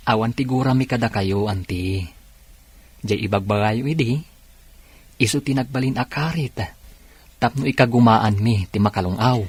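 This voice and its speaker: A man's voice: medium pitch, a little slow, pauses often, husky, no room sound. A man playing a humble, earnest nephew from a poor family in an Ilocano radio drama. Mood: humble, forgiving.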